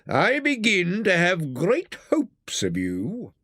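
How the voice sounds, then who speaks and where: Narrator doing older badger guy in a storybook, in charge, measured, animated delivery. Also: mid-range voice, no room sound, studio quality, voice pushed up, exaggerated voice. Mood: commanding. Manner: measured, authoritative. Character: gruff, steady, commanding older male badger.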